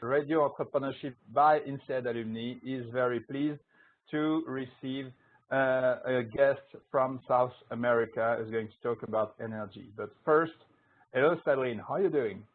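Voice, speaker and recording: A male radio host with a mid-range voice introduces a guest in a loose, halting way, full of fillers and restarts. The sound is thin and tinny, like a phone line. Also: medium pitch. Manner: hesitant, many fillers and restarts. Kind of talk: casual interview introduction.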